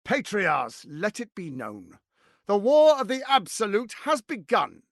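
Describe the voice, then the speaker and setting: A man playing a smooth-tongued, condescending tyrant lord in a video game, with a pompous, theatrical delivery and deliberate emphasis. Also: high voice, wildly swooping pitch, a bit bright, no room sound, clean studio sound, heavily acted. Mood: proclaiming.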